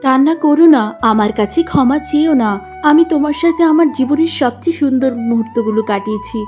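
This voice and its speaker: A woman voicing a gentle, forgiving young princess in a cartoon fairy tale. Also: sits high, fast, soft background music, close to an everyday voice. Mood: tender, forgiving.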